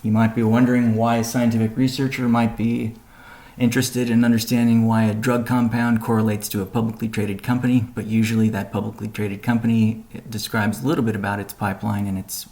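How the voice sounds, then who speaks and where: Low, gravelly man presenting on a business call. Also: level tone, a little fast, a little mellow. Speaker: company spokesman presenting an update.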